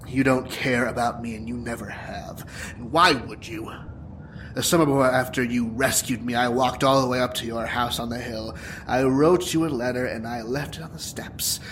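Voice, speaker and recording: A man's voice: mid-range voice, fairly even intonation, moderate pace, fairly bright tone, close to an everyday voice. An actor playing a bitter, wounded young male supervillain in an audio drama, taunting and dramatic in manner. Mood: angry, hurt. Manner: taunting, dramatic, profane, breaking into whispers and laughter. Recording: clear background noise and ambience.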